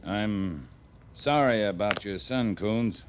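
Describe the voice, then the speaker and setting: An actor playing a laconic, plain-spoken frontier cavalry captain in an old radio western. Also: male voice, fairly low pitch, slow pace. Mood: grave, measured. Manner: terse, understated, plain-spoken. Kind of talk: 1950s radio western acting. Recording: noisy old radio drama recording.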